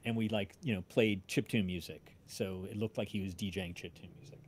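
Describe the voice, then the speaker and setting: A man's voice: deep voice, steady tone, a little hiss or hum. A man telling a story casually on a livestream, rambling and often interrupting himself. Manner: rambling, halting, self-interrupting. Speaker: podcast creator and voice-drama maker.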